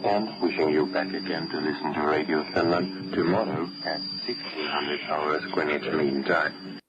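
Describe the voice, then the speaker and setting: A man with a very deep voice reads a formal radio script. The old shortwave recording is dull and lo-fi, with loud noise all through it. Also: steady tone, moderate pace. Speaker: radio announcer and DX editor. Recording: muffled, very noisy old shortwave broadcast.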